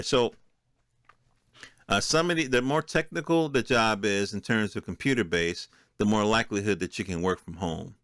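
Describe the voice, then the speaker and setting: A middle-aged American man with a low, resonant voice, recorded close and dry. He talks casually as a solo podcast host, reading through notes with offhand asides and turning to his listeners with rhetorical questions. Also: fairly bright tone, smooth, dry recording. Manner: conversational, checks in with listeners using rhetorical questions. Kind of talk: informal solo commentary, reading from a list with asides.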